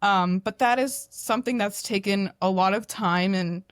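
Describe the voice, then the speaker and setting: A young woman who is a podcast guest, talking in a loud, animated, self-deprecating way. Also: medium pitch, normal speed, dry recording, clean studio sound. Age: young adult. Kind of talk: candid podcast conversation.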